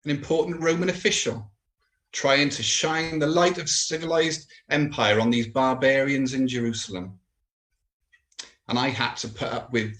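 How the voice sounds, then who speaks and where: A man's voice: mid-range voice, a bit bright, close to an everyday voice. A man playing a contemptuous, haunted Roman official in a dramatic monologue, speaking with emphatic, rhetorical scorn. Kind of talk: dramatic monologue in a church service.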